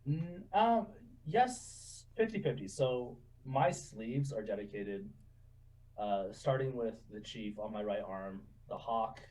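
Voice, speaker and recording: A man in his twenties telling stories in a relaxed, chatty way, laughing often and saying "you know" a lot. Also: on the low side, slow, distant mic, rough recording. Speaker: guest. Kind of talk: casual interview storytelling.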